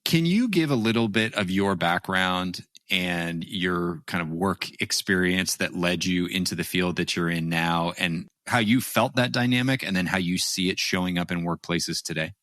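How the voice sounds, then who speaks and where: A man's voice: deep voice, normal speed, hardly any pauses, fairly bright tone, dry recording, studio quality. A man hosting an interview podcast, introducing his guest and asking questions in an earnest, upbeat way. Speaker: podcast host.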